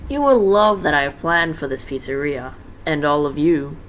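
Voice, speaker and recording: A man's voice: on the high side, lively intonation, moderate pace, fairly bright tone, slight background noise, low-quality recording. A man voicing a scheming businessman villain who talks in a falsely reassuring way, in a fan-story reading. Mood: slyly scheming. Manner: smooth-talking, falsely soothing.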